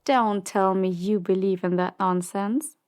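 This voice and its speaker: A woman's voice: mid-range voice, steady tone, darkish tone, natural voice. A woman playing a silky, commanding crime boss. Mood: cold menace. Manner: measured, condescending, coolly polite. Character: commanding female crime boss, silky, menacing, power-hungry. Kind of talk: audio drama roleplay acting.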